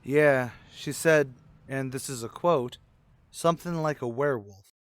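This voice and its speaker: An actor plays a gruff, dogged police detective in a radio crime drama. His medium-pitched voice is terse and hard-boiled, with noticeable noise on the recording. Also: a little slow, lightly acted. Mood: grave. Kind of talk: old-time radio police drama dialogue.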